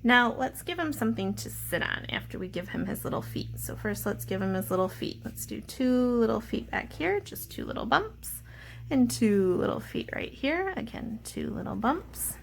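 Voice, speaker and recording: A woman's voice: on the high side, lively intonation, normal speed, creaky, a little hiss or hum. A woman teacher talking to children in simple, playful, step-by-step language, as in a read-aloud and drawing lesson. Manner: simple, child-directed explanations with playful asides. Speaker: elementary art teacher.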